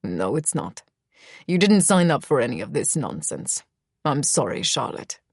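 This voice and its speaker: A woman voicing a gruff, kingly middle-aged stepfather with a deep, Germanic-tinged voice and a weary, fatherly manner. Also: sing-song, unhurried, no echo, studio quality, full character voice. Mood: weary, apologetic.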